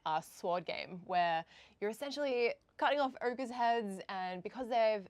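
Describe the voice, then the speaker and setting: A woman's voice: on the high side, unhurried, a little mellow, no echo. An Australian woman giving a casual game review as a guest on a show, talking in slangy, emphatic phrases.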